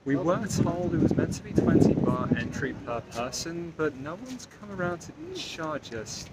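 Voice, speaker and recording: A man in his thirties giving measured explanations as a travel vlogger, filmed on a handheld phone. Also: on the high side, sing-song, very noisy. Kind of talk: casual travel vlog. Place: outdoors at temple grounds. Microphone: phone camera at arm's length.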